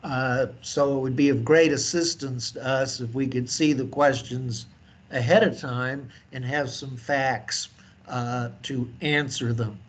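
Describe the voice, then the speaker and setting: A man hosting a conference call meeting, speaking with deliberate, formal wording. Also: medium pitch, steady tone. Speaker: meeting host. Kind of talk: semi-formal meeting moderation.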